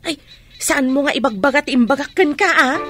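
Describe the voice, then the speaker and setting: A woman's voice: sits high, a little fast, music in the background, lightly acted. A woman playing a nosy, gossipy neighborhood storekeeper in an Ilocano radio drama, with a prying, exclamatory manner.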